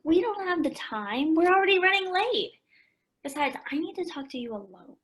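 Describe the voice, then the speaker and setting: A woman's voice: very high voice, big pitch swings, normal speed. A woman acting a frank, bookish tomboy teenager in a radio play, direct and matter-of-fact. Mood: firm.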